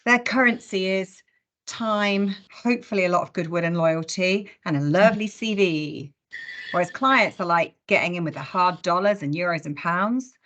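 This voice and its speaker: A woman's voice: lowish voice, moderate pace, fairly bright tone, dull audio. A woman hosting a casual business conversation in a chatty way with wry humour. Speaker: host of a recruitment industry discussion.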